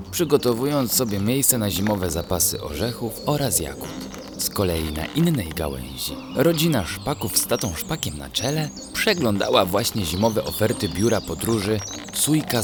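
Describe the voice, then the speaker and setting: A man gently narrating a scripted children's bedtime story in a measured, descriptive way. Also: fairly low pitch. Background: music.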